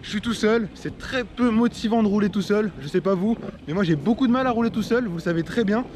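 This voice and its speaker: A chatty young man in his twenties talks to his viewers from a bike-mounted action camera as he rides. His voice sits high for a man, and loud wind and traffic noise run under it on the open road. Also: fairly quick. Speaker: cycling vlogger. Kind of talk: casual vlog to camera while riding. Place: outdoors on a road bike, rainy roads.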